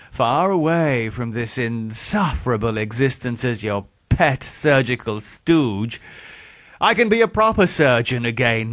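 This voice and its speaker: A man's voice: mid-range voice, dry recording, pitched above the performer's own voice, lightly acted. A man voicing an arrogant, murderous surgeon in an audiobook, with a sardonic, theatrical and falsely affable manner.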